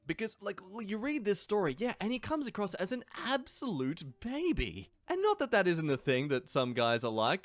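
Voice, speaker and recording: A man's voice: mid-range voice, dry recording. A young man from England hosting a reaction channel, reading online stories aloud and adding sarcastic asides. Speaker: YouTube reaction host.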